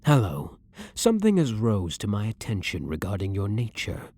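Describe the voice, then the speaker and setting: A man playing a blunt, dominant, brooding vampire hunter who is secretly a vampire, in a husky voice with measured, formal, theatrical phrasing for an ASMR roleplay. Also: on the low side, huge pitch swings, mellow, no room sound. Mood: commanding, teasing. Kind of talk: ASMR roleplay audio drama acting.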